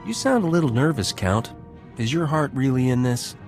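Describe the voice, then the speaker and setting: A man's voice: medium pitch, big pitch swings, moderate pace, soft background music. An actor playing a roguish, cynical male starship captain with a teasing, wry manner, in video game dialogue. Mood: teasing, pointed.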